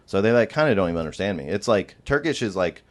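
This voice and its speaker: A man's voice: fairly low pitch, sing-song, fast talker. A man in his twenties, raised in the American South, telling his life story as a podcast guest with lots of "like" fillers. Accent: light Southern American. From Iraq, raised in Georgia, USA. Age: 20s. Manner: rambling, many "like" fillers, laughs often.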